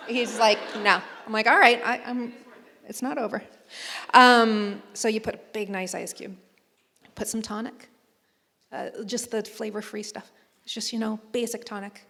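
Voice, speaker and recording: A woman chatting on a convention panel, telling lively stories and putting on playful voices. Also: a little high, normal speed, gravelly. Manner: animated storyteller, playful voices. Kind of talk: casual panel chat. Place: large hall on stage. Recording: reverberant hall sound.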